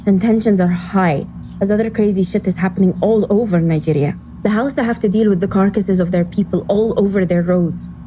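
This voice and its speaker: A woman's voice: mid-range voice, fairly quick, over music, close to an everyday voice. A woman playing a serious, blunt covert agency officer briefing a team in an audio drama. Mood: grave, firm. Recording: clear background noise and ambience.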